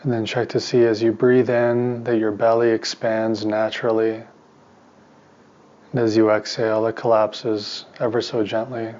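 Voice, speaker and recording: A man teaching meditation in a soft voice, giving calm, soothing instructions in short, spaced phrases. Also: lowish voice, unhurried, lo-fi sound. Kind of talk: guided meditation.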